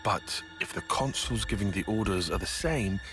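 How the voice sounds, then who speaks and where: Calm careful strategist guy, man voicing, lowish voice, game music behind. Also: natural voice. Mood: serious. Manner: measured, reasoned, reserved. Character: serious analytical young man, cautious strategist.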